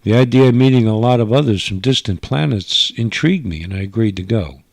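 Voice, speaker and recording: A man's voice: on the low side, brisk, vocal fry, no room sound. A man narrating a first-person radio drama in old-time style, as a government investigator giving his report.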